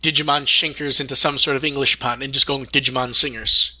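A man's voice: mid-range voice, level tone, fast, pushed and bright. A man hosting a podcast, going through news in a casual discussion style. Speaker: podcast host.

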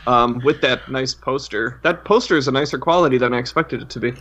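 A man's voice: medium pitch, a little fast, fairly bright tone. A man co-hosting a casual podcast, chatting over dull online-call audio. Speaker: podcast co-host.

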